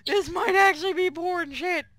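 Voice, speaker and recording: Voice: extremely high pitch, moderate pace, fairly bright tone, no echo. A co-streamer chatting casually over a game, blunt, crude and teasing, sometimes reading a line of dialogue. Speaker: co-streamer commenting and reading lines.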